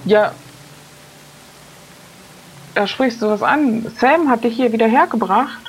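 A woman's voice: on the high side, slow, low-quality recording. A woman playing in an improvised role-play, speaking in casual in-character conversation. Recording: faint background hiss.

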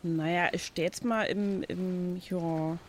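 A woman's voice: lowish voice, steady tone, takes it slowly, slight background noise. A woman improvising a practical female pirate crewmate in an audio drama, talking in a rambling, colloquial way. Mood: chatty.

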